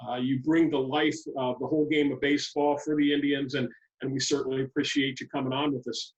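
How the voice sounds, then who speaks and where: A man's voice: medium pitch, little pitch movement, fairly quick, far from the mic, highs cut off. An American man hosting a podcast interview in a conversational way. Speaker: podcast host.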